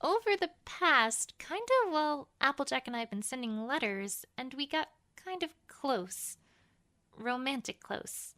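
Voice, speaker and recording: A woman's voice: high-pitched, animated, a little slow, pushed and bright, exaggerated voice. A woman voicing an earnest, bookish young female pony scholar in an emphatic, conversational letter reading for an audio drama. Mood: flustered, confiding.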